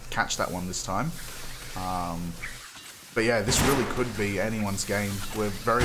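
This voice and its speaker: Low-voiced non-native English caster. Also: male voice, very noisy. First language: not English. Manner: relaxed, halting color commentary with many ums. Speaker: esports co-caster. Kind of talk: live game commentary.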